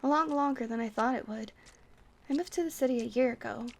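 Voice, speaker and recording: A woman playing a friendly vampire bartender who rambles gently and whimsically in an ASMR roleplay. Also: a little high, a little fast, vocal fry. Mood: chatty, sheepish.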